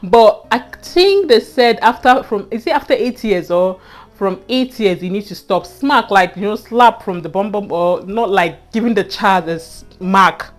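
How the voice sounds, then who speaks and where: A woman's voice: mid-range voice, lively intonation, a little slow, faint music behind. A young Nigerian woman with a Nigerian accent, earnestly telling a personal story to her viewers and often repeating herself and adding "you know". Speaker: vlogger. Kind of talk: casual storytelling to camera.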